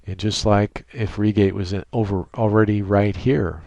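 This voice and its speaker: A man giving an unscripted software tutorial in a methodical, technical way with halting phrasing, close on a USB microphone. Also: lowish voice, fairly even intonation, creaky, no echo. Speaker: tutorial presenter.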